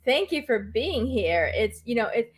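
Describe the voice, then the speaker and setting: A woman's voice: a little high, very animated, unhurried, a loud crowd. A woman hosting an online interview, hesitant and full of fillers and restarts. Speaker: host.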